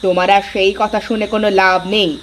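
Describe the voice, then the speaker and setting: A woman's voice: mid-range voice, level tone, fast talker, close to an everyday voice. Woman as a bossy, touchy Bengali sister-in-law, noisy recording. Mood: sulky. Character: bossy, easily offended elder Bengali daughter-in-law. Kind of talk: cartoon story voice acting.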